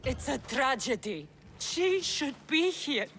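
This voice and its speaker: Fierce grieving greek warrior woman for a video game, very lively pitch, long reverb. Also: takes it slowly, a little hiss or hum, full character voice. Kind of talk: dramatic video game cutscene acting.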